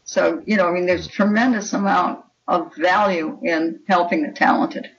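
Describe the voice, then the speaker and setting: An older woman talks in an interview with an emphatic, opinionated manner. Her voice is creaky, and it comes through a little muffled and away from the microphone. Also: on the low side, fairly even intonation, moderate pace, far from the mic. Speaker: guest writer and anthology editor. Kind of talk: interview conversation.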